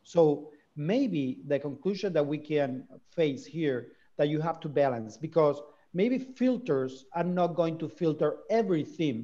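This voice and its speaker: A man moderating a medical panel discussion over a video call, talking in a relaxed, quick way and steering the questions. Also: fairly high pitch. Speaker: webinar moderator. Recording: dull lo-fi video call audio.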